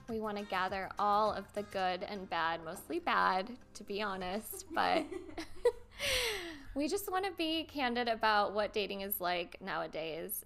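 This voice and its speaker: Woman host, gravelly fry in her voice, easygoing chatty vibe, faint music behind. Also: mid-range voice. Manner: relaxed, chatty with fillers. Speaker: podcast host. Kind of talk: casual chat.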